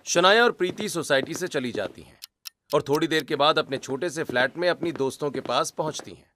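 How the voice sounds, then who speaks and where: A man's voice: a little high, fairly quick, sharp tone. A man delivering scripted storytelling narration as the narrator of a drama.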